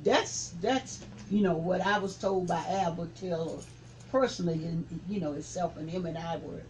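A man in his seventies, a reverend, recalling the past in an interview with a preaching cadence that rises to forceful emphasis. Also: high-pitched, normal speed, a little hiss or hum, low-quality recording. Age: 70s. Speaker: reverend, civil rights veteran. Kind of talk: interview reminiscence.

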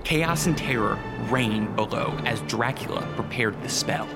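A grave, commanding male vampire and storyteller, acted by a man in a radio drama. His medium-pitched voice carries suspense over clear background music. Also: a little slow, natural voice. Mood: grave, suspenseful. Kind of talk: radio drama acting, dramatic scripted narration.

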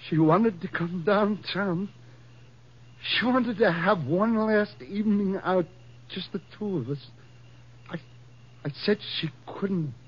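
An actor playing a guilt-stricken, dazed husband in halting, anguished 1950s radio drama style. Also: male voice, high-pitched, slightly rough, heavily acted. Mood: anguished, guilty. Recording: dull vintage 1950s radio recording.

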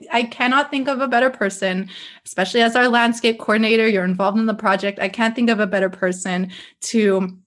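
A young woman from with a fairly high voice hosts a relaxed interview podcast. She talks with eager enthusiasm, often stumbling and restarting her phrases. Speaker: podcast host. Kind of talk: casual interview chat.

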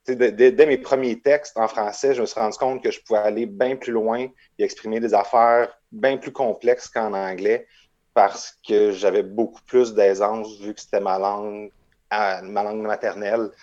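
A man's voice: fairly low pitch, level tone, moderate pace, darkish tone, dull audio. A man with a Québécois accent, a musician guest reflecting earnestly in a casual interview.

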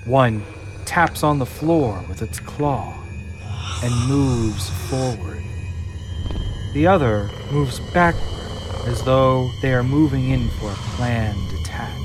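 Measured male narrator over music. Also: medium pitch. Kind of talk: scripted audio-drama narration. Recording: clear music underneath.

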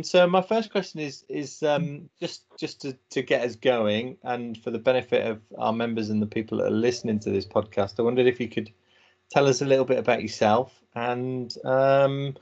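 A man's voice: medium pitch, fairly even intonation, normal speed, creaky, darkish tone. Hesitant male podcast host, muffled. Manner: hesitant, with many fillers and repeats. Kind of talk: podcast interview hosting.

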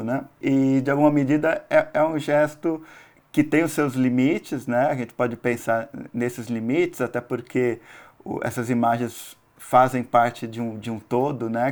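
A Brazilian man discussing a film analytically and off the cuff, in a halting, tentative way with restarted phrases. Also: mid-range voice, darkish tone. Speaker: film commentator.

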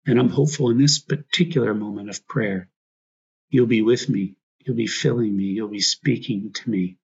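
Male priest for guided meditation: gravelly, husky, reflective, short prayerful phrases, slightly dull recording. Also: fairly low pitch, moderate pace, darkish tone.

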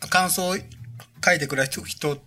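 A man's voice: high-pitched, a little slow, pushed and bright. A middle-aged man co-hosting a casual podcast chat, joking and self-deprecating as he talks things through. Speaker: podcast co-host and director.

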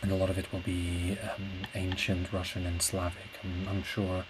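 A man, a native Portuguese speaker, talks alone in a low voice with little rise and fall. He rambles casually and thoughtfully, often pausing to find the right word, with clear noise behind him. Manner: hesitant, reflective, searching for words. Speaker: podcast host; classical singer. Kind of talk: casual solo rambling monologue. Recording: clear steady background noise.

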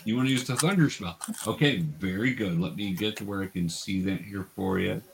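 A man's voice: fairly low pitch, brisk, gravelly, slight background noise. A man acting as game master, patiently explaining rules and narrating a tabletop fantasy game.

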